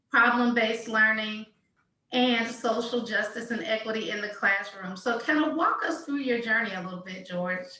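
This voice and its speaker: A woman with a bright, clear, fairly high voice hosts an interview show. She reads the guest introduction and asks questions with enthusiastic emphasis. The voice rings in an echoey room. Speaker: podcast host.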